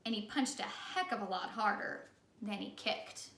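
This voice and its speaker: A woman reading a children's story aloud in the animated style of a teacher. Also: mid-range voice, moderate pace, far from the mic, a little hiss or hum. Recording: echoey room.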